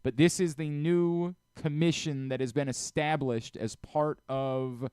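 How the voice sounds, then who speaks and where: Clear-voiced man hosting sports talk radio. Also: fairly high pitch, a little slow, no room sound, clean studio sound. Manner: animated storyteller who re-enacts exchanges. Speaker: radio show host. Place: radio studio.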